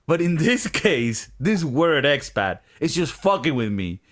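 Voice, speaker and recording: Animated, swearing podcast host. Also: male voice, on the high side, unhurried. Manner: profane, joking, animated storytelling. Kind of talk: casual podcast chat.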